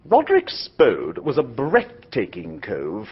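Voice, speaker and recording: An actor playing a jaunty, easily flustered young gentleman who narrates and talks in a radio drama. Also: medium pitch, slow, exaggerated voice. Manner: jaunty, animated, breathy. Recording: dull lo-fi audio.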